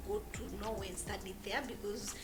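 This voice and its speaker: A woman in her forties from Kenya, telling her story as a guest in an interview, pausing and restarting her phrases often. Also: medium pitch, steady tone, roomy sound, over music. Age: 40s. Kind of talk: conversational interview.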